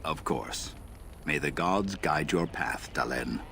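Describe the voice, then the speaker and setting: A man acting as a grave, menacing fantasy figure in a video game cutscene, with gravelly, slow and solemn speech. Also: a bass voice, animated, background noise, heavily acted.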